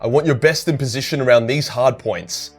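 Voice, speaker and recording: A man voicing a gruff, decisive major general giving authoritative, clipped orders in a dramatised war story. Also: medium pitch, a little fast, soft background music, pitched above the performer's own voice, close to an everyday voice. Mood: commanding, resolute. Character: commanding male major general, gruff, decisive military officer.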